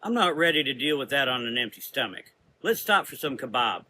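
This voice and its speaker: A man's voice: medium pitch, very animated, brisk, sharp tone, slight background noise. A man voicing a feisty, sarcastic, outspoken woman traveler in a gothic audio drama. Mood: exasperated.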